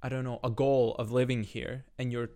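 A man's voice: mid-range voice, level tone, normal speed, dark tone. Hesitant Latvian man in casual discussion. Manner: hesitant, hedging, searching for words.

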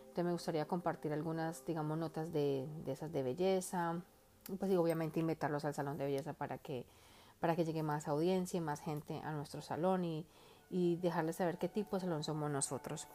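A woman's voice: deep voice, steady tone, fairly quick, dark tone. A woman hosting her own podcast in a casual, earnest and heartfelt monologue, rambling with lots of fillers. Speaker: podcast host.

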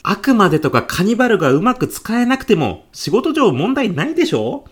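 A woman playing an easygoing, forgiving middle-aged male department manager in a comedic radio drama, with a breezy, chummy manner and a husky voice. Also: medium pitch, sing-song, fairly quick. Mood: placating.

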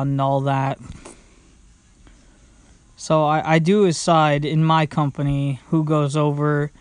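Slow-talking young man rambling on his podcast. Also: on the high side, clear, resonant tone, no room sound. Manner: rambling, lots of fillers and restarts. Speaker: podcast host. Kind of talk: casual solo podcast ramble. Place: at home, sitting indoors.

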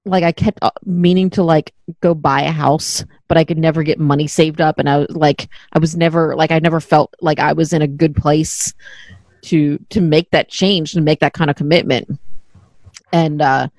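A woman's voice: low voice, fairly even intonation, normal speed, a little mellow, no room sound. A middle-aged woman hosting a podcast in casual chat, candid and self-deprecating, swearing freely. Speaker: podcast host.

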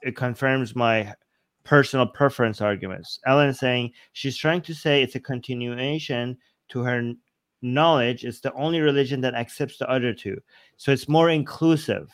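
A man hosting a casual panel discussion, sarcastic, mocking arguments with quick imitated quotes. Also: mid-range voice, dry recording. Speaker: host.

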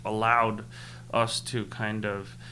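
Young guy, speaks khas first, talks slow and thoughtful, lots of ums, soft hum behind him. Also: fairly low pitch, little pitch movement. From Nepal. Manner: thoughtful, with many fillers and restarts. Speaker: interviewee. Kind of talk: casual interview.

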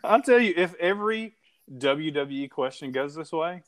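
A man's voice: a little high, animated, moderate pace, no room sound. A man co-hosting a podcast in casual chat, joking, teasing and laughing often. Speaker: podcast co-host.

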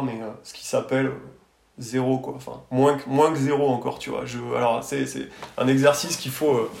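A man's voice: medium pitch, gravelly, a little mellow. A man from France talking casually as an interview guest, telling his story in a hesitant, colloquial way full of verbal tags. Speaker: architect, podcast guest. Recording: roomy echoing sound.

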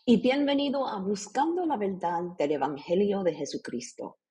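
A woman with a Puerto Rican accent, hosting a religious podcast with scripted devotional narration and scripture readings in a deliberate, earnest manner. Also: medium pitch, big pitch swings, warm tone, breathy edge. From Puerto Rico.

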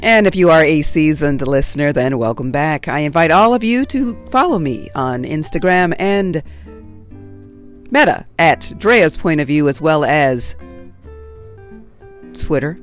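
A woman's voice: low voice, lively intonation, unhurried. A woman with a nasal voice talks frankly and unscripted as she hosts her own podcast, telling personal stories in a chatty way. Faint music sits underneath. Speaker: podcast host.

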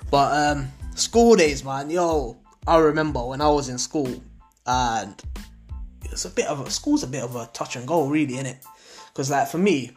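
A man's voice: medium pitch, normal speed, soft background music. A young man hosting a podcast in laid-back slangy banter, laughing often. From Portugal. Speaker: podcast host. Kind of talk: casual podcast chat.

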